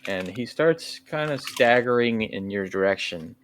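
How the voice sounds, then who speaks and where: A man game-mastering a tabletop role-playing game, narrating off the cuff in a halting, conversational way. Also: lowish voice, animated, fairly bright tone, a little hiss or hum.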